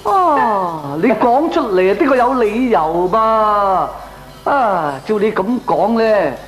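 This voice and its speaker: A man's voice: sits high, expressive, takes it slowly, faint music behind. An actor playing a chatty, good-natured man who loves food, in comic Cantonese radio drama with a Hong Kong accent. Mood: chatty, agreeable. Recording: echoey old radio drama recording.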